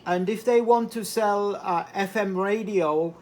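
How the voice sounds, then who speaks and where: A man's voice: high voice, measured pace. Guy on ham radio, casual hesitant chat, repeats himself, bit of static. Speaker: amateur radio operator. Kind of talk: casual two-way radio chat with operator jargon. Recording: slightly noisy two-way radio transmission.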